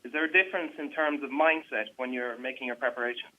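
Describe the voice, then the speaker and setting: A man's voice: mid-range voice, fairly even intonation, fast talker, pushed and bright. A man working as a reporter, putting questions to fighters over a phone conference call. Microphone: telephone. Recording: dull radio-like phone line.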